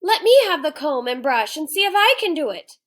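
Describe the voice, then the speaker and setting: A woman voicing an angry, vain young male lion in a children's storybook, loud and blustering. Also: high-pitched, very animated, brisk, pitched above the performer's own voice. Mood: delighted. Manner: loud yelling, blustering, exclamatory. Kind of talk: children's storybook character reading.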